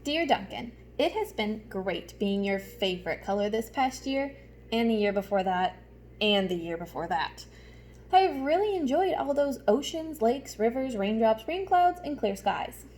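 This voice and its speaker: A woman's voice: fairly high pitch, big pitch swings, a little slow, darkish tone, a little hiss or hum. A woman voicing a short, worn-out blue crayon from a picture book, friendly but pleading. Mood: fond, worn-out.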